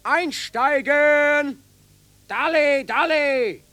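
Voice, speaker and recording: A man's voice: very high voice, drawn-out words, bright, forceful tone, heavily acted. An actor playing a harsh male prison sergeant in a radio play, barking out clipped commands. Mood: barking orders. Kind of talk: 1970 German radio play acting.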